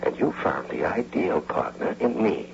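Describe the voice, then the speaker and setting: A man's voice: sits low, sing-song, a little slow, creaky, full character voice. A man acting in a radio drama as a scheming, bitter husband, insistent and persuasive, repeating himself for emphasis. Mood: pressing, persuasive. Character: scheming, bitter, persuasive husband and electrical engineer turned would-be robber. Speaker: actor narrating and playing the lead. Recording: muffled, noisy old radio broadcast.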